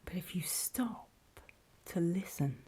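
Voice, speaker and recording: Girl playing a paranoid zombie survivor lady, low breathy whisper, soft and dark, creepy asmr roleplay. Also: animated, heavily acted. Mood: hushed, wary warning. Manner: slow, hesitant, confiding, with pauses. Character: wary, paranoid female survivor of a zombie apocalypse.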